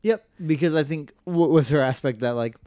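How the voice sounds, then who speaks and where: A man's voice: a little high, moderate pace, dark tone, no room sound. A young man co-hosting a podcast in casual chat, tossing in short, dry quips and agreements. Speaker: podcast co-host.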